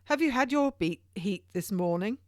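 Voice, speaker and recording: A woman's voice: mid-range voice, expressive, moderate pace, a little mellow, no room sound, clean studio sound, pitched above the performer's own voice, close to an everyday voice. A woman voicing a small, well-fed male messenger who tells his news with relish and deference in archaic English for an audiobook. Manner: passionate, deferential archaic storytelling.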